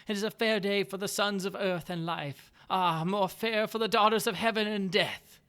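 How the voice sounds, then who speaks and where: A man reading aloud voices a dying, mystical young woman who speaks in solemn, archaic, drawn-out phrases. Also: medium pitch, fairly even intonation, a bit bright, clear, resonant tone, pitched above the performer's own voice, natural voice. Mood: solemn prophetic.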